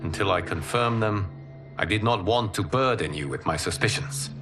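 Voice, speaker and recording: An actor playing a calm, wise male demigod in a video game cutscene, speaking in a measured, solemn way. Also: low-pitched, music in the background. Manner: measured, solemn, soft-spoken. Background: orchestral game score.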